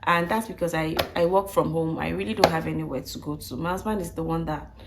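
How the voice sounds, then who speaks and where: A woman with a croaky voice, recovering from flu, chatting casually to viewers and often repeating and rephrasing as she goes. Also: deep voice, fast talker, heavy background noise. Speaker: vlogger. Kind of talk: casual budget breakdown talk to viewers.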